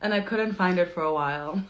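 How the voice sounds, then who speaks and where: A woman's voice: lowish voice, moderate pace, highs cut off. A woman hosting a casual live video interview from New York over a video call, chatty and laughing often, with many fillers. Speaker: podcast host.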